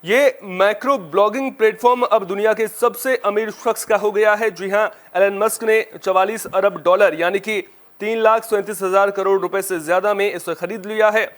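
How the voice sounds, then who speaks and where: A male news anchor with a notably high-pitched voice reads from a script. His delivery is formal and presentational, in the style of a television bulletin. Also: fairly even intonation, normal speed, fairly bright tone.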